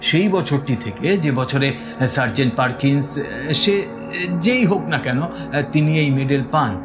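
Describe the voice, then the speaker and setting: A man playing a village schoolteacher who recounts his own eerie experience in measured, hesitant audio-story narration. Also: medium pitch, close to an everyday voice. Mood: pondering. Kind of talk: dramatised first-person audio story narration. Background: music.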